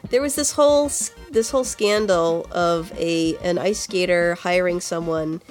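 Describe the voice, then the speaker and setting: A woman's voice: on the low side, a little slow. A woman with a congested voice chatting casually as a podcast co-host. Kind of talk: casual chat. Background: music.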